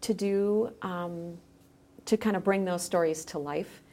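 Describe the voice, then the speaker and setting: A woman speaking as a podcast guest, explaining her creative work in casual conversation with halting phrasing. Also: on the low side, mellow. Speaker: podcast guest, musician and educator.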